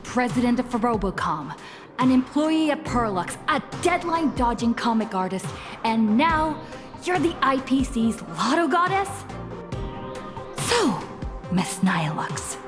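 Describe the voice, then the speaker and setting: Probing, lively young woman. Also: fairly high pitch, measured pace. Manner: direct, questioning. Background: game music.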